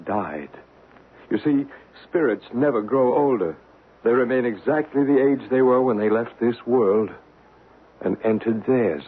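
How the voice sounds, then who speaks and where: An actor playing a calm male psychic investigator in a 1970s radio drama, asking questions in a reassuring way. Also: medium pitch, unhurried, breathy edge. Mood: gently probing. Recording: tinny hissy 1970s radio broadcast.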